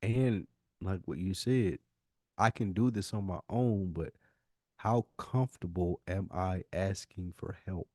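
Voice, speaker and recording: A man's voice: low voice, measured pace, dark tone, no echo, clean studio sound. An American man co-hosting a podcast, talking casually and candidly in an earnest, slangy way and repeating words for emphasis. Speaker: podcast co-host.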